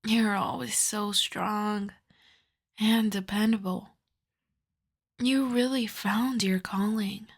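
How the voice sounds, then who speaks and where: A woman voicing a seductive, lovestruck vampire in a soft, breathy, intimate ASMR whisper, coaxing and doting. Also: mid-range voice, fairly even intonation, slow pace, long pauses. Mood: lovestruck confiding.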